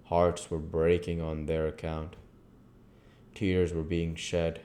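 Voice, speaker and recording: A man narrates a novel in a very low-pitched voice. His delivery is notably unhurried and his tone dark and mellow, suited to literary storytelling. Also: monotone. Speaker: audiobook reader.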